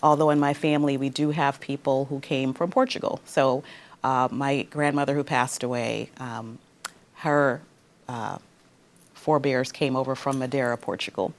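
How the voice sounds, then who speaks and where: A woman's voice: very deep voice, steady tone, normal speed. A woman from Guyana, an interview guest who speaks articulately and laughs easily. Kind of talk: casual interview.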